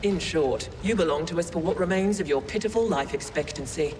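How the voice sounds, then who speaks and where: A male actor as a haughty game villain from a ruling corporation. His voice sits a little high and carries condescending, formal disdain. It echoes through a big hall over noisy ambience. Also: a little mellow, natural voice. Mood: smug contempt. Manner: pompous, disdainful, measured formal phrasing. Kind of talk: scripted video game villain monologue. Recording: long hall reverb, noisy ambience behind.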